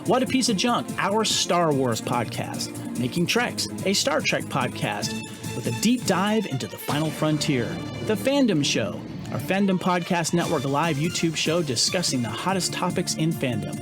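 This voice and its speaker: A man's voice: a little high, big pitch swings, normal speed. A man reading a scripted podcast network promo as its announcer. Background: music.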